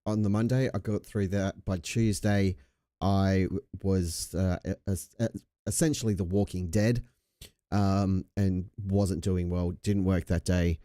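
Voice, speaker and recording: Australian male streamer, low relaxed voice, loose slangy banter, slightly under the weather from a chesty cold, very dry close sound. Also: unhurried, dry recording. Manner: laid-back, jokey, slangy and sweary. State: recovering from a chest infection with a lingering cough. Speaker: livestream host. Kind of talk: casual livestream chat.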